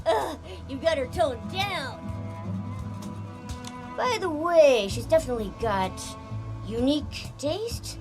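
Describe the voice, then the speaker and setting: A woman voicing a small, excitable, childlike companion creature in a video game, bubbly and exclamatory. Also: sits high, slow pace, pauses often, over music, full character voice, rough recording. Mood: amused, teasing.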